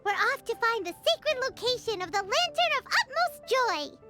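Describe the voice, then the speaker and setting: A woman voicing a tiny, excitable, bossy fairy companion in a video game, with a theatrical chirpy delivery. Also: very high voice, moderate pace, over loud music. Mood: excited, proud. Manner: refers to self in third person, theatrical.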